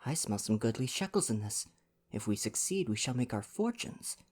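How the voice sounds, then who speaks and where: Detective's companion read by a man: cheerful English gentleman, eager and hearty, old-fashioned storybook manner. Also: medium pitch, very animated, moderate pace. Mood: excited. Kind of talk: storybook dialogue reading.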